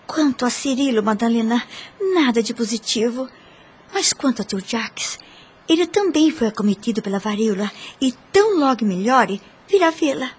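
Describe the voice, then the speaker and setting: A woman's voice: a little high, expressive, moderate pace, husky. An actress playing an earnest, composed young woman in a radio soap opera, speaking formally and carefully with solemn feeling. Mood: hesitant, grave.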